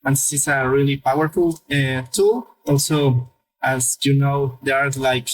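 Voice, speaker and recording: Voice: mid-range voice, steady tone, measured pace, rough recording. Hesitant podcast host asking questions. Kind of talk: interviewer questions.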